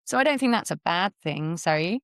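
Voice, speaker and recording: A woman hosting an online panel discussion, talking in a brisk, thoughtful, conversational way over a video call. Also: lowish voice, animated, no room sound, clean studio sound. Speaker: host.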